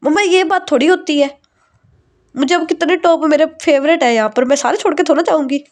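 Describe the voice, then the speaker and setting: A woman voicing a stubborn, tearful young bride-to-be who talks in a high, whiny, insistent voice. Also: fairly quick, a little mellow, close to an everyday voice.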